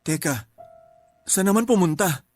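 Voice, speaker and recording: Puzzled young Filipino man, higher-pitched. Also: moderate pace, no room sound. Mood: dismissive. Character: young man at a village wake, puzzled and wary. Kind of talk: Tagalog radio drama acting. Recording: music bed behind the voice.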